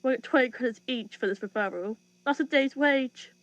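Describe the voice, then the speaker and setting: This is a woman acting a scornful, commanding police boss in a dystopian audio drama. Her voice is a little high and full of cold contempt. The recording is slightly dull. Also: brisk, lightly acted. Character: cold, contemptuous female police chief interrogating a prisoner.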